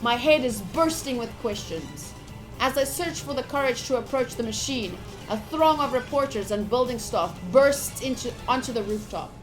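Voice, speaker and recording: A woman with a high voice plays through a visual novel on a live stream. She reads the text aloud with some drama, then slips into chatty asides to her viewers. Soft music plays underneath. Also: moderate pace, rough recording. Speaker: game streamer. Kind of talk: live visual novel playthrough, reading aloud and commenting to chat.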